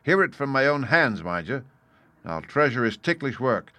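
A man voicing a blunt, stern ship's captain in an audiobook, clipped and forceful in his plain speaking. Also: mid-range voice, very animated, normal speed, no room sound, voice pushed up. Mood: stern, wary. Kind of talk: audiobook character voice.

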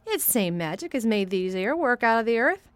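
A woman's voice: fairly high pitch, very animated, normal speed, no echo, pitched above the performer's own voice. A woman voicing a cheerful country boy in Yorkshire dialect, as she reads a storybook aloud. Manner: easy, cheerful.

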